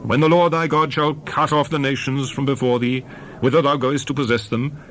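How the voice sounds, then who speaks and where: A man's voice: medium pitch, fairly even intonation, moderate pace, a bit bright, a little hiss or hum. A man giving a dramatized scripted reading of scripture as narrator, in a solemn, declamatory and emphatic manner.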